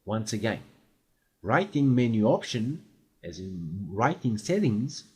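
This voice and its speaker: A man talking through a screen-recorded software tutorial as an instructor, in an explanatory way with fillers and quick checks for understanding. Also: on the low side, big pitch swings, breathy edge.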